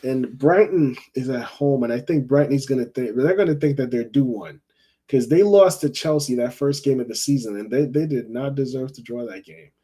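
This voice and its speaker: A man from Jamaica hosts a casual football call-in show. His voice is mellow and dark, at a middle pitch. He rambles in a friendly way, repeats phrases and keeps adding "you know.". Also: normal speed, breathy edge. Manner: chatty, rambling, repeats himself, says you know often. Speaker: fan call-in show host.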